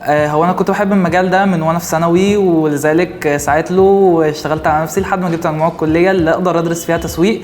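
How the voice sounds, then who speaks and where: A man's voice: a little high, steady tone, racing, hardly any pauses, darkish tone, a little hiss or hum. A young man with an Egyptian accent, recently graduated, giving an earnest testimonial full of filler sounds. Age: young adult. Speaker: intern. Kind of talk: testimonial interview. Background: music.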